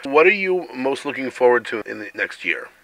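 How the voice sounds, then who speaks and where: A male radio interviewer asking measured, formal questions into a close studio mic. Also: mid-range voice, sing-song, creaky, bright, forceful tone, low-quality recording.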